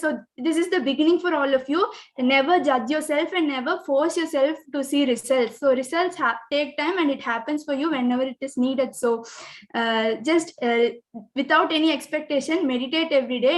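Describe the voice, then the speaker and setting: A young woman from southern India, hosting an online meditation session over a video call, polite and earnest. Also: sits high. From Pollachi, Coimbatore district, India. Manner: earnest, polite, many fillers and restarts. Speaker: program host.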